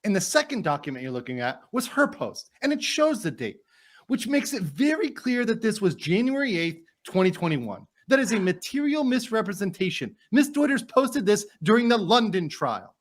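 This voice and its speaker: A man's voice: high-pitched, very animated, moderate pace. A man doing a dramatic reading as an indignant, courteous trial lawyer, formal and emphatic as he argues to a judge.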